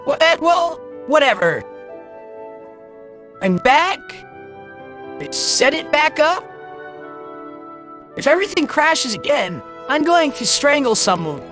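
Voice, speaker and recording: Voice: high-pitched, very animated, slow pace, pauses often, a bit bright. Blunt grumbly streamer talking off the cuff while gaming, voice changer on, bit of music in the back. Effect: possible voice changer. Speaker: game streamer. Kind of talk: casual livestream gaming commentary. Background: game music.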